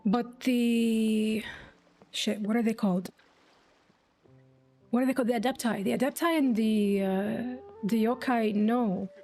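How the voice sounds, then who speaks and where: A woman's voice: a little high, measured pace, pauses often, warm tone, soft background music. A woman streamer giving casual play-along commentary on a video game, musing aloud informally.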